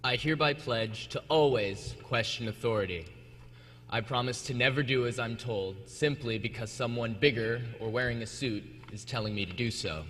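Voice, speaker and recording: A man's voice: mid-range voice, moderate pace, a bit bright. A teenage young man reading a pledge aloud during a church service. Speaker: youth reader at a church service. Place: large indoor church sanctuary. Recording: echoey church hall, faint hum.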